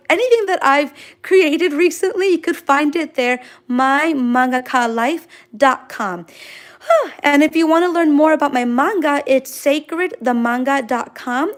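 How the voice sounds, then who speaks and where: New girl, real high voice, super gushy, just chatting w her viewers on a livestream. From Queens, New York City, United States. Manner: gushing, effusive, sprinkled with exclamations. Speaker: manga artist hosting a drawing livestream. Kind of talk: casual livestream chat, answering viewer comments.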